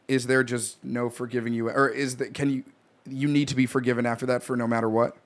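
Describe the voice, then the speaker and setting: A man's voice: medium pitch, level tone, brisk. A man from New York with an American accent, telling stories and bantering casually as a podcast panelist. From New York, United States.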